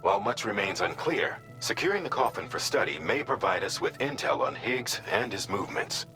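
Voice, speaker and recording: A man's voice: on the low side, big pitch swings, brisk, gravelly, pushed and bright, soft background music, exaggerated voice. An actor playing a businesslike mission briefer in a video game, formal, precise and composed as he lays out orders.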